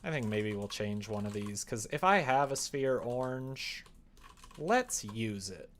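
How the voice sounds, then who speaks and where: A man's voice: mid-range voice, lively intonation, a little slow, fairly bright tone. A man live streaming as he develops a visual novel, casually thinking aloud and chatting with viewers as he works. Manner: thinks aloud, mutters instructions while working, chats back to viewers. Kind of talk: casual livestream chat while coding, often muttering to himself. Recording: faint background noise with keyboard typing.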